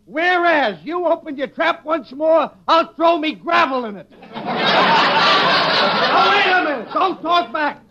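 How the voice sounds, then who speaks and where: An actor playing a pompous, bullying Brooklyn judge with mangled grammar and a Brooklyn accent in 1940s radio comedy. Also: male voice, very high voice, expressive, measured pace. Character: blustering, bullying, uneducated Brooklyn judge, comic and biased. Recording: muffled, very noisy old radio recording.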